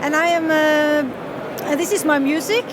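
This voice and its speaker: Woman, 50s, Norwegian accent, musician being interviewed outdoors; high voice, hesitant, restarts often; traffic noise behind. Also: unhurried. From northern Norway. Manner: hesitant, many fillers and restarts, laughs often. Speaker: fiddle player and composer. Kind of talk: casual interview. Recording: loud street noise behind.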